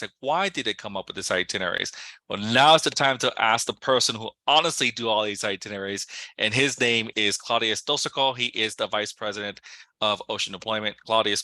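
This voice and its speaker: A man pitches with eager, salesy energy and plenty of fillers. His voice is very bright and sharp, and he sits a little low in pitch. The sound is dry and close. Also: no room sound. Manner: enthusiastic, promotional, loose with fillers. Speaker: regional sales director. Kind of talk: sales presentation.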